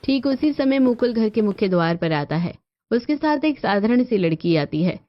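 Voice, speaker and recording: A woman's voice: on the high side, lively intonation, moderate pace. A woman reading scripted narration for a cartoon family story. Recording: dull lo-fi audio with music behind.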